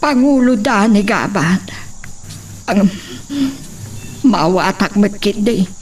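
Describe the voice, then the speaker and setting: Slow-speaking Ilocano woman villager acted by a woman. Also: mid-range voice, animated, darkish tone. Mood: dismayed. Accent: Filipino, Ilocano. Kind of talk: Ilocano radio-style drama acting. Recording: distant mic, faint background noise with insects.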